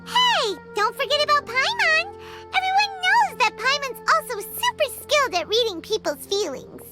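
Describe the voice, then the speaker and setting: A woman voicing a tiny floating fairy girl companion, high-pitched and bouncy, in a game with music behind. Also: big pitch swings, normal speed. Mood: cheery. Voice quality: high-pitched, bouncy, talks of herself in third person. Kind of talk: game voice acting.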